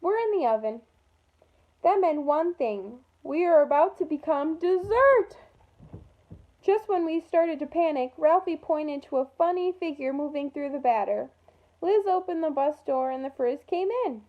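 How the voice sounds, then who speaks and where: A woman reading a children's storybook aloud in an expressive way, acting out the dialogue with emphasis. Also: high-pitched, measured pace, narrow, tinny audio. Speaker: storybook reader at an environmental learning center.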